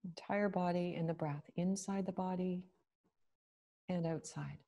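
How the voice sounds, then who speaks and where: Woman, meditation guide, slow soothing delivery, mellow low voice. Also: steady tone. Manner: slow, gentle, soothing, with long pauses.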